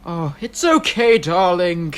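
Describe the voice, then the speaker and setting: A pompous, bickering upper-class husband, voiced by a woman blusters and snaps. The delivery swoops widely in pitch. Amateur audio drama. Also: very animated, slow pace. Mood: blustering. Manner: snappish, blustering.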